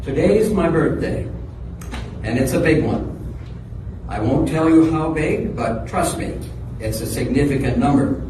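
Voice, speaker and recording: A man's voice: fairly high pitch, a little slow, natural voice. A man performing a dramatic reading as a reflective, wondering person musing on wishes, recorded from afar in a noisy, echoing hall. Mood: reflective, amused. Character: reflective birthday celebrant pondering wishes and others' troubles. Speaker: dramatic monologue reader. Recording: echoey hall from far off, noisy.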